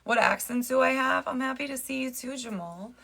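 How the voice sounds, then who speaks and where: A woman's voice: sits high, a little fast, creaky, a bit bright. A woman with a New Jersey accent chatting casually on a fitness livestream. She jokes around and greets viewers by name. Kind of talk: casual live-stream chat.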